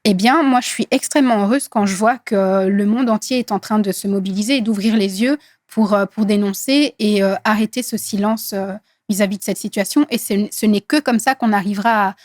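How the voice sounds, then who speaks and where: A woman in her thirties from Congo whose first language is Swahili, speaking earnestly in an interview with many hesitant pauses. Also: medium pitch, a little mellow, no echo, clean studio sound. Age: 30s. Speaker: guest activist. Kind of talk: interview conversation.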